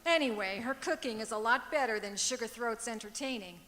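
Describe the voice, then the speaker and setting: A woman playing a wry, sharp-tongued married woman in an old-time radio comedy, with emphatic theatrical delivery on an auditorium stage. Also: a little high, brisk. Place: stage of a performing arts auditorium. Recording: echoey auditorium with long reverb.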